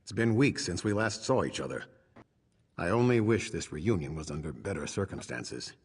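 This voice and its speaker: A man's voice: deep voice, animated, moderate pace, husky, heavily acted. An actor playing a stern, weary swordsman who protects survivors, speaking formally and resolutely in a fantasy video game. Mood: solemn. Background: background music.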